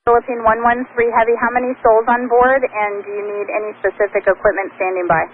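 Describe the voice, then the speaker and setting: A woman's voice: on the high side, flat delivery, fast, narrow, tinny audio. A woman working as an air traffic controller, issuing standard instructions over aviation radio.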